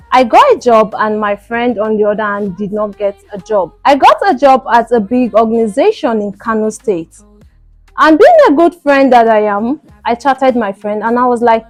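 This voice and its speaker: A woman's voice: a little high, no echo. Nigerian woman storyteller over background music. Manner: conversational storytelling with rhetorical questions and reported speech. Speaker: storytelling show host. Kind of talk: narrated personal story.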